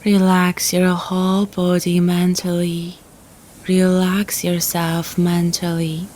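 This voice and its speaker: A woman guiding a relaxation meditation in a calm, gentle, soothing manner, leaving long pauses between phrases. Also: on the low side. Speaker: yoga nidra guide.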